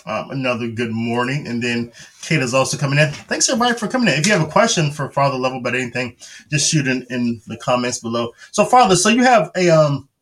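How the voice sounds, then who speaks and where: A man's voice: mid-range voice, animated, fast talker, fairly bright tone. A man hosting a live stream, chatting casually, affirming his guest and reading out viewer comments.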